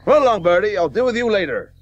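An actor in a radio play playing a bluff, hearty, excitable Victorian cricketing doctor. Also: male voice, sits high, fast talker, lo-fi sound. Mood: stern. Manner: blustery, excitable. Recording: hissy old radio broadcast recording.

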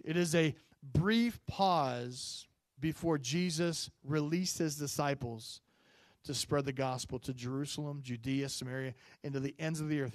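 A man in his forties preaching a Sunday sermon on a handheld microphone, chatty and full of fillers, rising loud on key points and dropping low and soft in prayer. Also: no room sound. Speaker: pastor. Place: church stage, medium-sized room. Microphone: handheld mic.

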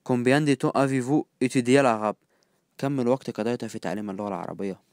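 A man with an Egyptian accent teaching phrases, repeating each one slowly and then glossing it in quick, casual asides. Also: mid-range voice, a little mellow, no echo. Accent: Egyptian Arabic. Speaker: language teacher. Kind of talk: phrase-by-phrase language lesson.

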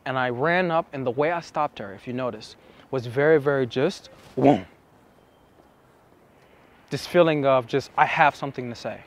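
A young man explaining to camera as a dating coach, with emphatic, deliberate phrasing and pauses, recorded outside on a city street. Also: medium pitch. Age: young adult. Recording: outdoor city street with traffic noise.

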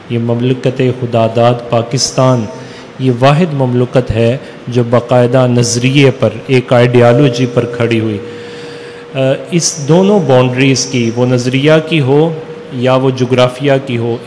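A middle-aged Pakistani man, a religious scholar, giving a formal, somewhat halting convention speech into podium microphones. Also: medium pitch, a little mellow. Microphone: podium microphones through a PA. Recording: reverberant PA hall with background noise.

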